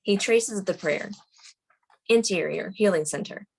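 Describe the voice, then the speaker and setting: A woman's voice: medium pitch, a little slow, creaky, a little mellow, slight background noise, rough recording. A woman reading scene directions as the narrator at a screenplay table read.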